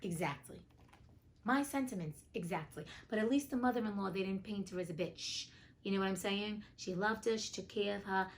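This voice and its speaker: A woman's voice: medium pitch, slight background noise. A woman hosting a storybook reading, moving between narration and casual, outspoken, colloquial asides. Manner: sassy, outspoken, colloquial commentary. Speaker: host reading classic tales aloud.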